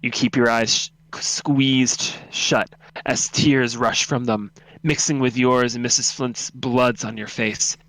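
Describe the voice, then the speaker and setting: A man's voice: medium pitch, fairly even intonation, takes it slowly, a bit bright, no room sound, low-quality recording. A man narrating a second-person horror story in a dramatic, solemn, intense reading.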